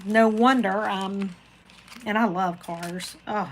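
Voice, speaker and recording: A woman's voice: mid-range voice, fairly even intonation, takes it slowly, slight background noise. A woman, hosting a casual live chat and rambling to viewers by name. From Florida, USA. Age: 40s. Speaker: craft channel host reading live chat.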